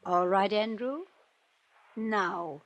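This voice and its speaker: An actress playing a scheming, controlling wealthy villainess in a 1950s radio drama, coaxing and haughty in a theatrical way. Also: female voice, medium pitch, very slow, muffled recording, very noisy. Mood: coolly coaxing.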